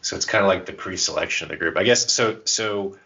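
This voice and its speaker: A man's voice: deep voice, brisk, gravelly, pushed and bright, husky, highs cut off. A man co-hosting a podcast in casual chat, with an irreverent, self-deprecating way of talking. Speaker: podcast co-host.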